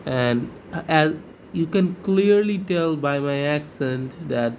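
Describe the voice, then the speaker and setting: A man's voice: fairly high pitch, takes it slowly, darkish tone, a little hiss or hum. A man who is not a native English speaker, talking casually to his viewers in a chatty, informal way with frequent restarts. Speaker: YouTuber. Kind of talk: casual vlog talk to camera.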